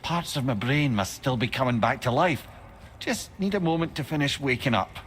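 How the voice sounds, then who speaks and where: A man's voice: mid-range voice, normal speed, a bit bright, close to an everyday voice. An actor playing a talkative, wry, learned older man, a reanimated severed head in a video game, with a Scottish accent. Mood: hesitant, musing. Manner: chatty, rambling storyteller, wryly humorous. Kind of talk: video game character dialogue. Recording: clear background noise under game dialogue.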